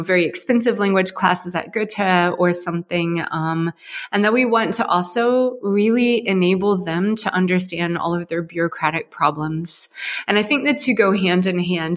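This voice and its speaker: American woman from ohio, chatty podcast guest, lots of ums, mid pitch. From Ohio, USA. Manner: animated storytelling with fillers. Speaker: guest, company co-founder. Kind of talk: conversational interview.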